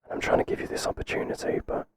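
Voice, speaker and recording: A man playing a stern but flustered boss in an ASMR roleplay, speaking in a halting, breathy whisper. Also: close to an everyday voice. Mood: stern, exasperated. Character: stern, flustered male boss resisting an employee's advances. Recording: clearly noisy, hissy background.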